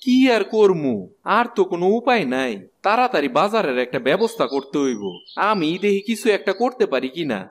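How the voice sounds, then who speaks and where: A man's voice: high voice, lively intonation, a little fast. A man playing an irritable, put-upon village husband in a cartoon, complaining in a rural eastern Bengali accent. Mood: worried. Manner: complaining, exclamatory. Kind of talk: animated cartoon dialogue.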